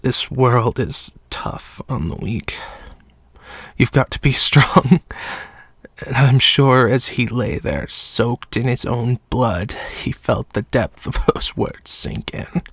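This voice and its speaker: An actor playing a sickly, frail man who seems ancient, coughing and speaking with slow, quiet menace. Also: medium pitch, dry recording, clean studio sound, full character voice. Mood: quietly menacing. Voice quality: coughing, weak, breathy. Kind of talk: audio drama acting.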